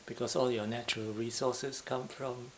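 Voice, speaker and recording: A man's voice: mid-range voice, flat delivery, moderate pace. A man interviewing, asking short leading questions. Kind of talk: oral history interview. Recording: faint hiss.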